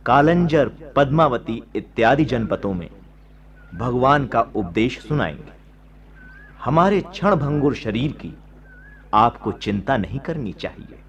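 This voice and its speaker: Slow, creaky-voiced solemn monk teacher. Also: male voice, mid-range voice, animated, dull audio. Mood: calm, admonishing. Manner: solemn, declamatory, formal Sanskritized diction. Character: serene, resolute monk teacher preaching detachment and compassion. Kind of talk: radio play acting.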